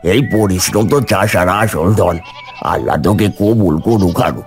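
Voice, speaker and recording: A man's voice: low voice, a little slow, soft background music. A man voicing a kindly, pious older village uncle who gives encouragement in a cartoon story. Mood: kindly, encouraging.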